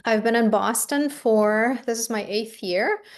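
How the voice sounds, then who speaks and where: A woman's voice: a little high, measured pace. Farsi-native woman in her fifties, panelist on a video call, lots of ums. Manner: frequent um fillers, soft-spoken. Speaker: university faculty panelist. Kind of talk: casual panel introduction.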